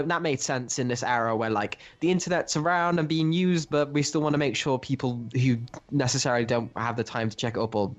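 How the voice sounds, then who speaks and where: A man co-hosting a podcast, talking casually through comic books in a halting, rambling way. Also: medium pitch. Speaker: podcast co-host reviewing comics. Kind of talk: casual chat, comic discussion.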